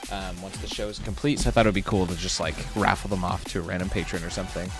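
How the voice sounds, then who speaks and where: A man who makes an animated series, chatting casually on a live stream at his desk, rambling with many fillers and restarts. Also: sits low, quick pace, over music. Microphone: webcam setup at a desk.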